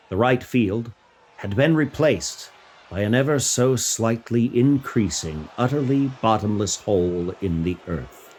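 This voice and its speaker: A man's voice: fairly low pitch, a little mellow, a little hiss or hum. A man narrating a scripted short story as a literary reader. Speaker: fiction reader. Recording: music bed behind voice.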